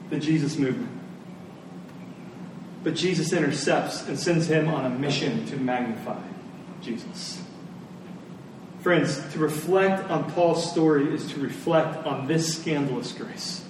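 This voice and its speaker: A man delivers a sermon in a high-pitched voice. He leans on deliberate repetition and rhetorical questions for emphasis. The sound is very reverberant and picked up from a distance, with faint noise underneath. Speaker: preacher. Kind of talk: sermon to a live congregation. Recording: very echoey room, distant mic, faint noise.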